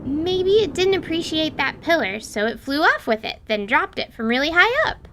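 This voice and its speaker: A woman's voice: high voice, very animated, normal speed, pushed and bright, slight background noise, pitched above the performer's own voice, full character voice. A woman voicing an eager, childlike young female adventurer in an audio drama, with breezy, naive, casual phrasing. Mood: curious.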